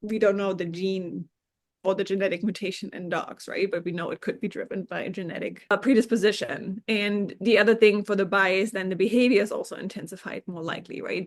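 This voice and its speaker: A woman from Germany talks through research as a podcast guest. She has a middling voice and explains carefully, circling back and qualifying her points as she goes. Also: medium pitch. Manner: thoughtful and explanatory, with hedges and restarts. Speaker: scientist guest.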